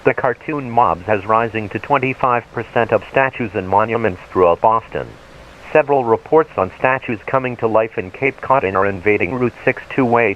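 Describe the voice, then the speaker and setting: A man's synthetic-sounding voice delivering a scripted emergency alert in a formal, impersonal way. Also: fairly low pitch. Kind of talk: scripted emergency broadcast announcement. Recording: dull lo-fi radio sound, faint noise.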